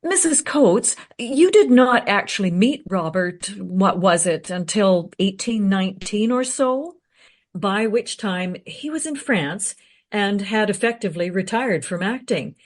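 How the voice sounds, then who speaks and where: A woman's voice: medium pitch, animated, unhurried. A woman playing a courteous modern interviewer in a radio play, asking tactful but probing questions.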